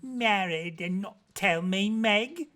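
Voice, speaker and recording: A man's voice: sits high, slow pace, bright, forceful tone, voice pushed up, full character voice. A man voicing a good-humoured, effusive woman of about fifty, motherly and theatrical in her gushing. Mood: gushing, affectionate.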